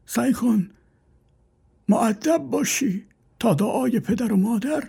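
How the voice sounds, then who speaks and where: Frail old father, high quavering voice, sighing, preachy. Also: animated, gravelly, dark tone, husky, full character voice. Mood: pleading advice. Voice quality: thin, strained, old-sounding.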